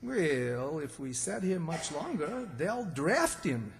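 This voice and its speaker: A man's voice: on the high side, very animated, slow, creaky, full character voice. A man playing a naive, timid country character with a rural Southern accent in old-time radio comedy, folksy and hesitant. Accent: American South, rural. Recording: echoey hall, long reverb.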